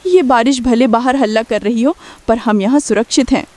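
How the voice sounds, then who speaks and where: High-voiced anxious village mother played by a woman. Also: normal speed, darkish tone, dry recording, natural voice. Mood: reassuring. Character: Kamala, anxious, caring poor village mother and wife. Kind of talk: Hindi cartoon story dialogue.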